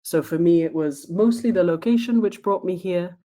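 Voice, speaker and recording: A woman who grew up in Spain, speaking as a guest in a podcast interview in a thoughtful, measured way. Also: lowish voice, warm tone. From born in Sweden, raised in Spain. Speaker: guest, diversity trainer.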